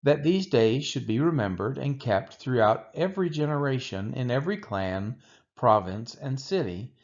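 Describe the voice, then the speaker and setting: A man reading the Bible aloud as scripted narration, delivering it deliberately, phrase by phrase with pauses. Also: mid-range voice, lively intonation, muffled recording. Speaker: scripture reader.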